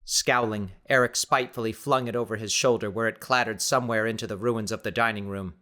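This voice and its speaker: Bright, forceful male audiobook narrator. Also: lowish voice, fairly even intonation, fairly quick. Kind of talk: dramatic scripted narration.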